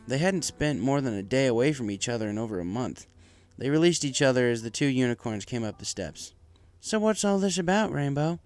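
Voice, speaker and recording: A man's voice: medium pitch, lively intonation, a little fast, hardly any pauses, no room sound. A man reading a fan fiction story aloud as a scripted narration. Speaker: story reader. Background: music.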